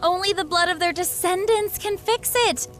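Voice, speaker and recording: A woman's voice: very high-pitched, normal speed, fairly bright tone, faint music behind. A woman playing a sardonic, playful rebel leader in a video game, with a breezy, teasing, theatrical manner. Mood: sarcastic, theatrical.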